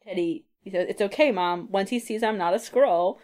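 A woman's voice: mid-range voice, moderate pace, darkish tone. A woman co-hosting a podcast, recapping a comic book in casual, conversational talk. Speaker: podcast co-host.

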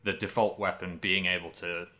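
A man's voice: sits low, level tone, normal speed, bright, forceful tone. A man co-hosting a podcast about video games, chatty and meandering as he thinks aloud in casual conversation. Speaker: podcast co-host. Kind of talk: casual video game discussion.

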